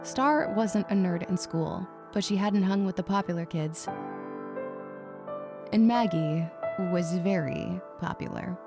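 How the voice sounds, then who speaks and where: A woman's voice: lowish voice, slow pace, gravelly, over music. A woman reading scripted story narration in the third person. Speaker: audiobook narrator.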